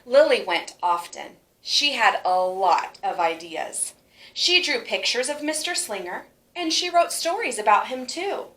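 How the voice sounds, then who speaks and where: A woman reads a picture book to young children in a fairly high voice. Her phrasing is expressive and emphatic, and the room adds a clear echo around her words. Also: a little slow. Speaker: teacher reading a picture book aloud. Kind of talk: expressive children's storybook read-aloud.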